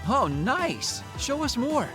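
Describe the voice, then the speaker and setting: A woman voicing a teasing, sharp-tongued young character in an anime-style game, with a casual manner. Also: high-pitched, very animated, slow pace, heavily acted. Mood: excited. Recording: music behind the voice.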